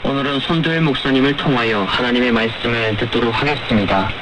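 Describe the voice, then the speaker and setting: A man reads scripture and makes announcements in a solemn, formal style with measured phrasing, as on a religious radio program. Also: mid-range voice. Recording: noisy shortwave radio broadcast.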